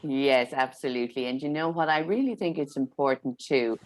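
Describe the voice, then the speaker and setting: A woman's voice: very deep voice, moderate pace, no room sound. A woman hosting a podcast, introducing her guest in an effusive, chatty way. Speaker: podcast host. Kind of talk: casual chat.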